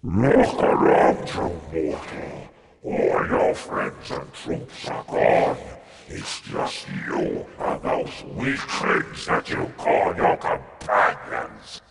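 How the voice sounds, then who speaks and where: An actor playing a bloodthirsty, menacing demon full of theatrical contempt in a fantasy game cutscene. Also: male voice, rumbling low, sing-song, drawn-out words, creaky, full character voice. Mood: gloating menace. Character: raging, bloodthirsty male demon mourning his love. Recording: echoing voice with music behind.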